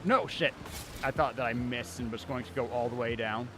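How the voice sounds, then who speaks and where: A man's voice: mid-range voice, moderate pace. A man livestreaming a video game with casual, wry, grumbling commentary. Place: inside a cold house. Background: game music.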